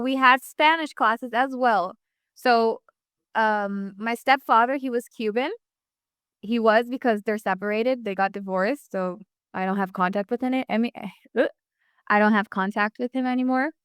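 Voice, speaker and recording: A woman's voice: fairly high pitch, a bit bright, smooth, no echo, studio quality. A young woman from the United States, a guest talking casually in an interview, rambling with many fillers and restarts.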